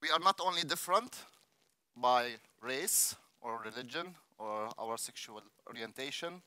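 A man from Palestine giving a keynote talk on a theater stage, explaining his point in a measured way. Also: mid-range voice, lively intonation, bright, forceful tone, clean tone, no room sound. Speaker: keynote speaker. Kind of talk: conference talk.

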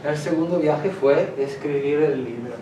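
A man with an Italian accent gives a lecture in a second language, searching for words and gesturing as he goes. His voice sits slightly high. He is recorded by a far camera in an echoey, noisy room. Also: measured pace. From Italy. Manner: gestures while talking, hesitant, searches for words. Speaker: guest speaker. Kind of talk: public lecture. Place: small lecture room in a civic centre. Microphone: far camera, no mic.

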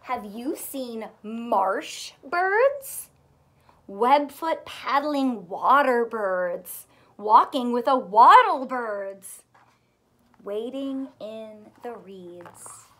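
Female children's read-aloud: high-ish voice, very unhurried pace, expressive sing-song teacher delivery. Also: a little hiss or hum. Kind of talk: picture-book read-aloud. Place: small furnished room indoors.

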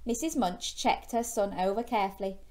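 A young woman reading a children's storybook in a gentle, expressive way, chatting with young listeners through questions. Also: medium pitch, brisk. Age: young adult. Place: small bedroom, indoors.

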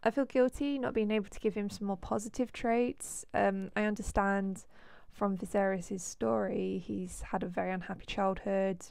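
A woman with a cold, hoarse and losing her voice, chatting casually as she shows off characters in a life-sim game. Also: mid-range voice, fairly even intonation, moderate pace, hardly any pauses, darkish tone, dry recording. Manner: chatty, rambling with fillers. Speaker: let's play host.

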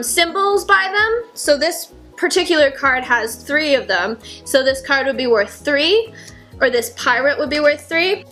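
A young woman in her twenties casually explaining board game rules at home, chatty with lots of fillers, her voice a little hoarse. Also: high-pitched, pushed and bright. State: slightly hoarse. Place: home kitchen, indoors. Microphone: fixed camera at table.

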